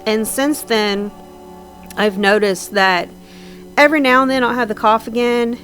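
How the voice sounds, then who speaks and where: A woman's voice: a little high, unhurried, fairly bright tone, soft background music. Chatty woman with a slightly scratchy voice. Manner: rambling, chatty, frequent ums and trailing restarts. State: slightly scratchy voice from sinus trouble. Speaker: Sims builder narrating a speed build. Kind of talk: casual gameplay voice-over.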